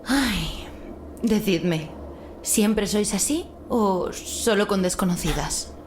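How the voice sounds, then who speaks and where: Woman acting stubborn, sharp-tongued debt collector. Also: medium pitch, animated, noisy background. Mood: frank, unafraid. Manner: insistent, quick retorts, archaic formal speech. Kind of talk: audio horror drama dialogue.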